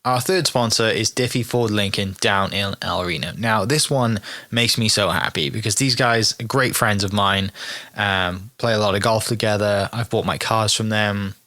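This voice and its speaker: A man's voice: on the low side, moderate pace, sharp tone. A man hosting an interview podcast, chatting casually in a laid-back, friendly, informal way.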